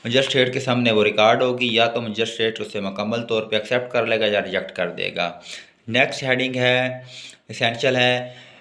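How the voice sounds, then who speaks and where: A man's voice: medium pitch, pushed and bright. A man with a Pakistani accent giving a law lecture, reading legal notes aloud in English and explaining them in Urdu. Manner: switches between slow English reading and quick Urdu explanation.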